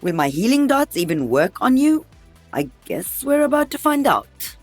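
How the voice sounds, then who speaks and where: A woman's voice: high-pitched, big pitch swings, normal speed, soft background music, heavily acted. A woman playing an older veteran sniper in a video game, wry and teasing. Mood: dry.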